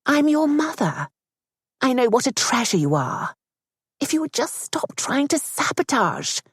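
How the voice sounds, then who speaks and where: Lady reading doing a bossy high-voiced aristocrat mom, brisk and cutting, husky. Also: big pitch swings, dry recording, studio quality, exaggerated voice. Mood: earnest, insistent. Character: stern, scheming aristocratic mother, older woman. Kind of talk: audiobook character voice.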